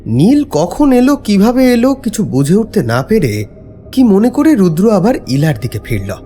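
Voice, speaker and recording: A man's voice: high voice, moderate pace, soft background music. A man narrating a scripted horror story in a dramatic, suspenseful way. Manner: suspenseful, expressive.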